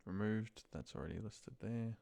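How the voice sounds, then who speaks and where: A man's voice: lowish voice, normal speed, creaky, dark tone. A man talking casually to himself as he works through a screen walkthrough, muttering and reading notes aloud. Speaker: office worker demonstrating data entry. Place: screen recording at a computer desk.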